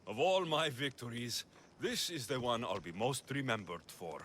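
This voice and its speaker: An actor playing a wry, confident, battle-hardened mercenary in a video game, with dry sardonic delivery. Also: male voice, medium pitch, expressive, moderate pace, fairly bright tone, a little hiss or hum, close to an everyday voice. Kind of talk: video game character acting.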